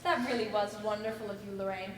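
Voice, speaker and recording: A woman playing a composed, politely needling secretary in a stage comedy, with a dry, quietly sarcastic manner. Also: mid-range voice, steady tone, fast talker, natural voice. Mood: dry, sweet. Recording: echoey hall from far off, noisy.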